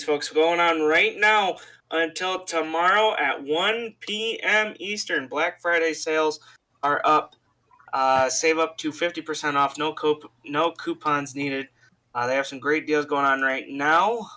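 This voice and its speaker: A man's voice: fairly high pitch, unhurried, pushed and bright. A man co-hosting a wrestling podcast, giving casual, informal promotional patter and addressing listeners as folks. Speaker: podcast co-host. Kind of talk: casual sponsor read and banter on a wrestling podcast.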